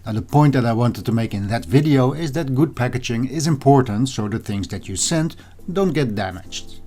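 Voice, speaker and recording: A man hosting a hobby video, narrating in a measured, explanatory way and addressing viewers directly. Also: mid-range voice, expressive, mellow. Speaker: host of an audio hobby channel. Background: background music.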